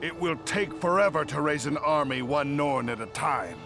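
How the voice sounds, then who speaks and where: Casting: impatient game dwarf, gravelly, blunt, pushy, over prominent score. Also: male voice, on the high side, moderate pace, over music. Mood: frustrated. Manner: blunt, pressing. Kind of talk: fantasy video game acting.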